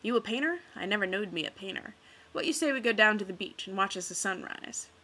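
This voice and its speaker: A woman's voice: mid-range voice, expressive, fast talker, sharp tone, poor audio. Woman putting on a drawling old bluesman. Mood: coaxing. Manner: drawling dialect, coaxing.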